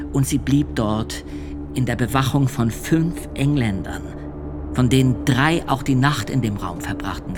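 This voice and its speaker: A man's voice: medium pitch, lightly acted. A man playing a grave church usher witness. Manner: measured, sombre telling, hushed at times. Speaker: witness testifying at a trial. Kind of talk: radio drama testimony. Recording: music under the voice.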